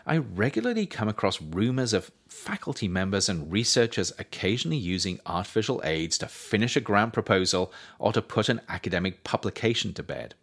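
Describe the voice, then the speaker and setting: A man reading his own nonfiction book aloud as scripted narration, with measured, deliberate phrasing. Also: fairly low pitch, big pitch swings, fairly bright tone, breathy edge.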